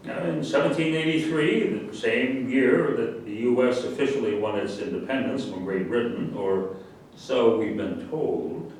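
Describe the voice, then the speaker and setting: A man's voice: unhurried, a little mellow, close to an everyday voice. A man in a staged reading playing a pompous, indignant general who lectures emphatically. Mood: pompous, lecturing. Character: pompous, indignant male general lecturing pseudo-scientific nonsense. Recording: distant echoey room with faint rumble.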